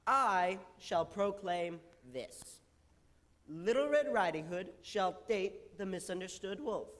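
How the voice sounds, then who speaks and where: Old fairy-tale king male actor: pompous, unhurried, lightish pitch, ringing stage acoustics. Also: animated, a bit bright, exaggerated voice. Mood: nostalgic, pompous. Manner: pompous, rambling. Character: doddering, pompous old king, fond of proclamations. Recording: reverberant stage hall.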